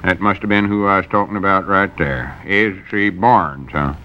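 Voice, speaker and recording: A man's voice: deep voice, normal speed, dull audio, natural voice. A man playing a cagey old rural storekeeper in a 1940s radio comedy, with a folksy, evasive drawl.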